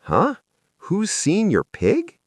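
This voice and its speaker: An excitable young schoolboy from a children's audiobook, performed by a man. He is loud, eager and a bit dim, exclaiming with very wide swings of pitch. The sound is dry and close. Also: slow pace, dry recording, heavily acted. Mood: baffled, excited.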